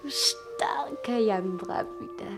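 A young woman playing a passionate, impetuous girl in love in a 1960 radio play, fervent, breathy and declamatory. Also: on the high side, slow pace, over loud music. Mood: tender, fervent. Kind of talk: theatrical 1960 German radio play acting.

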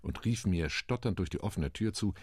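An actor playing a UN commission delegate in a radio play, giving a measured, wry and indignant account. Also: male voice, sits low, expressive, a little mellow, slightly rough, dry recording, clean studio sound. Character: male UN delegate, dignified, quietly indignant.

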